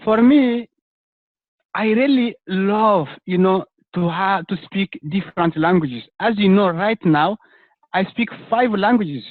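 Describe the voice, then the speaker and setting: A man's voice: high voice, unhurried, no echo. Earnest man on a dry tinny line. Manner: earnest, many "you know" fillers. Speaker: chat participant. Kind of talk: casual group chat. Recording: dry tinny phone-like call audio.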